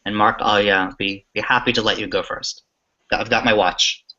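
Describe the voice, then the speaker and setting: A middle-aged man co-hosting a casual talk show over a video call, phrasing things haltingly with dry, self-deprecating humour. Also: on the low side, fairly even intonation, bright, forceful tone. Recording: dull lo-fi online call audio.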